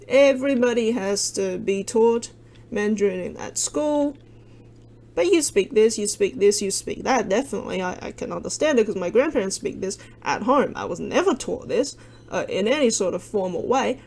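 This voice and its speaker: A young woman, Cantonese her first language, talks through maps in a loose, rambling way, often breaking off into wry asides. Her voice sits in a middling register with plenty of gravelly vocal fry. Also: mid-range voice, a little mellow. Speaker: video creator explaining with maps. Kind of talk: casual informal explainer over maps.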